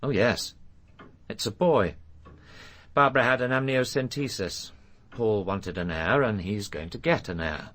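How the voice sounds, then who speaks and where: An actor playing a self-assured English doctor in a radio drama, polite and dry, with a coolly evasive manner. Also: male voice, fairly low pitch, a little slow, long pauses, fairly bright tone.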